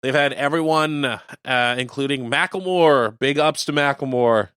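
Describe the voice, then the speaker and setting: A man hosts a community radio show in a casual, chatty way. His voice is bright and medium in pitch. The sound is very dry. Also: unhurried, clear, resonant tone, no room sound. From Canada.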